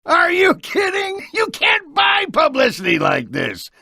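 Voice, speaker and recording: Brash, gravelly, excitable big-city politician. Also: male voice, extremely high pitch, measured pace, no room sound, shouting, clean studio sound, full character voice. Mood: excited. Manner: theatrical, blustering. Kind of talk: video game voice acting.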